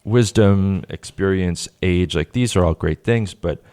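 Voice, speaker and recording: A man's voice: low-pitched, unhurried, warm tone, clean tone. A young man from New Hampshire hosting a podcast interview, asking long, rambling questions full of fillers. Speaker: podcast host. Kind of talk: casual conversational interview.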